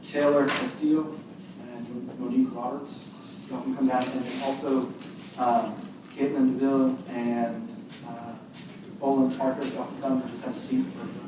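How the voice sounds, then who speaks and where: A man's voice: medium pitch, level tone, moderate pace. A man chairing a meeting, calling speakers down in an offhand, informal way. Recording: echoey hall, far from mic, noise.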